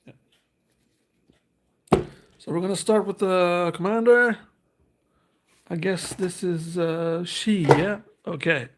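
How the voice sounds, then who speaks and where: A man's voice: sits high, slow, pauses often, noisy background. A man, hosting a casual unboxing and reading card text aloud in a hesitant, thinking-aloud way. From Norway. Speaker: host.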